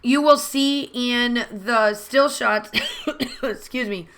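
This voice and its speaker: Woman host for a craft vlog voiceover; chatty, rambling, slightly hoarse, bright tone. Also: on the high side, takes it slowly, rough recording. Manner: rambling, chatty, many fillers and restarts. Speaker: scrapbooking channel host. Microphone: voice-over recorded separately from the video.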